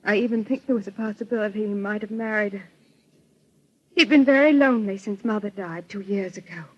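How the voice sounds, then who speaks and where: An American woman playing an anxious, well-bred bereaved daughter in an old-time radio melodrama, speaking earnestly and politely with a husky voice. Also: medium pitch, brisk, highs cut off, lightly acted. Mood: earnest, troubled. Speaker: radio actress.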